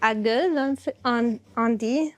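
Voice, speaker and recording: Slow, hesitant woman speaking French. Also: fairly high pitch. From United States (Baltimore, Maryland). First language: English. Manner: hesitant, many filler sounds and restarts. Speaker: puppeteer. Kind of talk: interview answers. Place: her puppet workshop.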